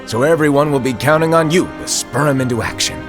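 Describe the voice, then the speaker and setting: A man's voice: medium pitch, a little fast, music in the background, close to an everyday voice. An actor playing a flippant, cryptic master mentoring his apprentices in a game cutscene, with a playful, theatrical delivery. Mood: playful, instructive. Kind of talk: animated game cutscene acting.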